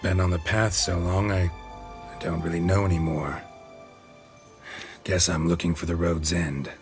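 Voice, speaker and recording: صياد وحوش متعبًا بصوت منخفض خشن. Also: male voice, little pitch movement, measured pace, music in the background. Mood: dry, weary. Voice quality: low, gruff. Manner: restrained, laconic. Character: weary, stoic male monster hunter, dry and blunt. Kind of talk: dramatic video game acting.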